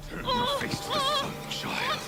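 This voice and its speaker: An actor playing a menacing, fanatical cult killer in a video game. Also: male voice, very high-pitched, steady tone, a little slow, music in the background, low-quality recording. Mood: menacing, zealous. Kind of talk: video game cutscene acting.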